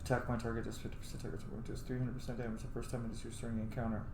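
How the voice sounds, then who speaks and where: A man's voice: mid-range voice, level tone, very fast, creaky, reverberant, background noise. A man giving casual, playful gameplay commentary, muttering to himself and exclaiming, with some swearing. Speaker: gamer playing and commenting on a role-playing game.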